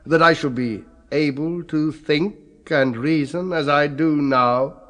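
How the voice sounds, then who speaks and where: Slow, pompous scholar. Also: male voice, fairly high pitch, a bit bright. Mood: dryly doubtful. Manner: deliberate, dry, pompous. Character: proud, skeptical, dying male philosophy scholar weighing a grim offer. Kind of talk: 1970s British radio horror play acting.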